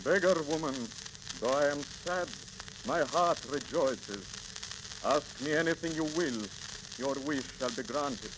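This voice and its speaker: Slow, solemn king, old crackly disc. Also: on the high side, animated. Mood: moved, commanding. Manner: solemn, declamatory, regal. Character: grieving, commanding medieval king, deeply moved. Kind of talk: 1930s radio drama acting. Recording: crackly, hissing 1930s transcription disc.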